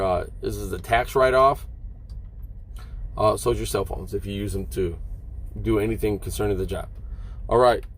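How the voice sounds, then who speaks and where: A man's voice: fairly low pitch, a little hiss or hum. A middle-aged American man, a truck driver, talking casually to his vlog viewers in a folksy, rambling, conversational way.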